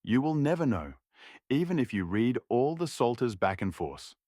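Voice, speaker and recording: A man's voice: medium pitch, very animated, normal speed, dark tone, no room sound, studio quality, voice pushed up. A man voicing a tipsy, stubborn, cheerful Cossack villager in a folk tale, insistent and emphatic.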